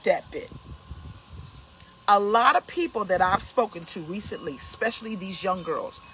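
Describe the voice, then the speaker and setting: A woman's voice: medium pitch, lively intonation, moderate pace, a bit bright, slight background noise, rough recording. A woman in her fifties hosting a casual motivational talk, emphatic and preachy, repeating her key points. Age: 50s. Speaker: host, pastor.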